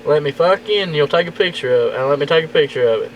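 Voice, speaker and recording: A man's voice: fairly high pitch, expressive, very fast, highs cut off. A man goading and taunting someone in crude prank chat over a CB radio, often repeating what others say. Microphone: CB radio handset. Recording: loud static over CB radio.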